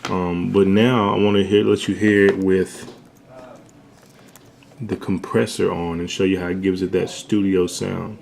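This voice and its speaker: A young man with a bassy voice, giving a laid-back, slangy home-studio tutorial. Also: level tone, measured pace, creaky, darkish tone. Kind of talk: casual tutorial talk.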